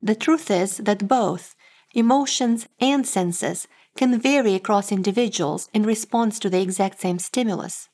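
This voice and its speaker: A woman from Moldova, a native Romanian speaker with a Moldovan Romanian accent, giving a measured, scripted talk as an author and professor. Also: mid-range voice, a little mellow.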